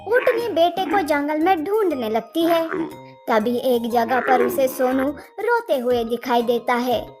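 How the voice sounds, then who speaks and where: A woman's voice: sits high, expressive, measured pace. A woman narrating a scripted children's story as a storyteller, with faint music underneath.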